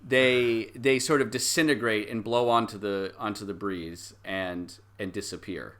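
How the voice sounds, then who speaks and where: A man's voice: on the low side, steady tone, unhurried, a bit bright. A man working as game master, improvising narration for a tabletop game and slipping into the characters' lines. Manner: acts out characters' lines in quotes while narrating.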